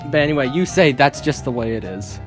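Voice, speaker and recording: A woman's voice: rumbling low, animated, brisk, faint music behind. A woman casually reading out a script and commenting on it as host.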